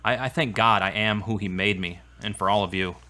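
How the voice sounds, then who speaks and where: A man's voice: fairly low pitch, fairly quick, natural voice. A man playing an earnest, sensitive grown son with a serious manner in an audio drama. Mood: earnest, confessing.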